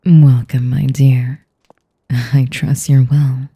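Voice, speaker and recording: Vampire noblewoman, played by a woman for audio roleplay: sadistic, seductive, formal, dark velvety low register, hushed breathy delivery, dry close sound. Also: flat delivery, dry recording, full character voice. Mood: silky, appraising. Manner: slow, formal, teasing, savoring each phrase. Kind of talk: intimate audio roleplay addressed to the listener.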